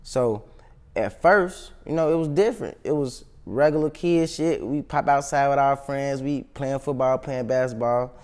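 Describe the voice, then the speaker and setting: A young man from the South Side of Chicago speaks with a local accent. He talks casually as an interview guest, using plenty of slang and swearing, in a medium-pitched voice. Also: normal speed, a little mellow. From South Side of Chicago, United States. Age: young adult. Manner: slangy, profane street talk.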